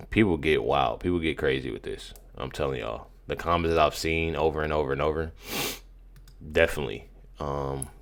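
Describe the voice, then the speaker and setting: An American man doing casual political commentary as a video host, in an emphatic, slangy, halting way with many repeats. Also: extremely low pitch.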